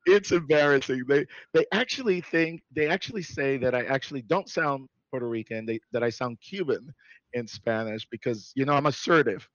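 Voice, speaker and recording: A man born in New York City, a guest in a casual interview who talks in an animated way, laughs often and restarts his sentences. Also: medium pitch, moderate pace, dry recording, highs cut off.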